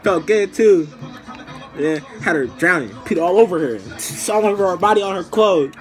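A young man telling a casual, comedic story to camera in an animated, slangy way, acting out the scenes, recorded in a small room at arm's length. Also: high voice, normal speed, soft background music. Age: young adult. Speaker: storytime vlogger.

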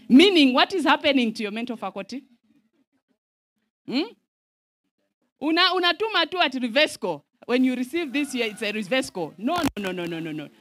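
Animated Zambian woman preaching. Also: on the high side, no room sound. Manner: animated, mixes in Swahili phrases, asks audience for responses. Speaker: camp meeting speaker. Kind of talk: lively sermon-style teaching. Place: large outdoor tent. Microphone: handheld microphone.

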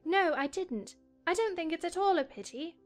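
A woman voices a polite, curious young girl in a storybook play. Her voice is extremely high and animated, with soft music behind it. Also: moderate pace. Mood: frank, inquisitive. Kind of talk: dramatized audiobook acting.

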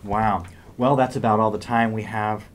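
A middle-aged man with a fairly low voice hosts an interview in a conversational way. He is recorded indoors, with faint room noise behind him. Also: steady tone, normal speed. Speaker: interview host.

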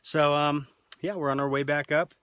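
A man's voice: on the high side, fairly even intonation, normal speed, a bit bright, clean tone. A man in his thirties talks casually and jokingly as a family vlog host, recording himself on a handheld camera out on a hiking trail. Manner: jokey, informal. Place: outdoors on a canyon hiking trail. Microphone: handheld camera at arm's length.

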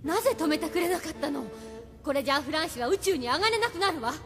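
A woman's voice: sits high, lively intonation, fast, music in the background. A woman playing a worried, serious member of a rebel crew in an audio drama. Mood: reproachful.

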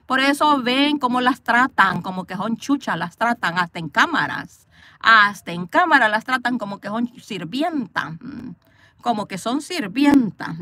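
Lady in her car on a phone cam, sharp bright voice, outspoken gossip, calls things straight. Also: fairly high pitch, no echo. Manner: blunt, scolding, outspoken. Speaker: vlogger reading live comments. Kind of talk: casual gossip commentary. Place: parked car, outdoors view. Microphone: phone camera.

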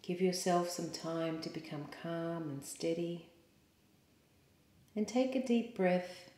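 Low, mellow woman guiding meditation, roomy echo. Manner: calm, soothing, measured with pauses. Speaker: yoga teacher. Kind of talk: guided meditation. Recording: roomy, echoing sound.

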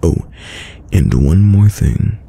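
A man playing a tender, teasing boyfriend in an intimate, soft-spoken ASMR roleplay, with a very deep, gravelly voice. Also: full character voice. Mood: tender, playful. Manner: slow, soft-spoken, soothing, affectionate. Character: tender, teasing young boyfriend caring for sick partner. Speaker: voice actor.

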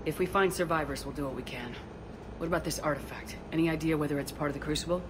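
A woman voicing a decisive female soldier commander who gives brisk orders in a game cutscene. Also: low voice, steady tone, noisy background, lightly acted. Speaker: squad commander. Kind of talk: video game cutscene dialogue. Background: game music.

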